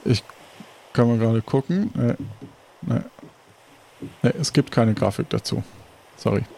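A man's voice: medium pitch, animated, a little slow, creaky, dark tone, slight background noise, rough recording. A man improvising narration as game master of a tabletop roleplaying game.

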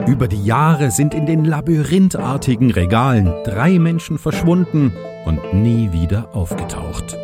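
A man's voice: medium pitch, sing-song, a little slow, music in the background. A man playing a pirate narrator who reads a formal, tongue-in-cheek guide in a theatrical way. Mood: theatrical, deadpan.

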